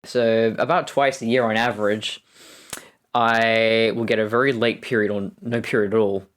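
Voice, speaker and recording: A woman in her thirties with an Australian accent and a deep voice. She speaks frankly in an interview, halting with restarts. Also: fairly even intonation. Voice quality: deep voice from testosterone. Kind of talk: candid recorded interview.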